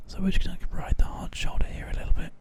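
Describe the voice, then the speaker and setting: A man whispering ASMR game commentary in a gently explanatory, rambling way. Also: quick pace. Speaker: host of an ASMR gaming show.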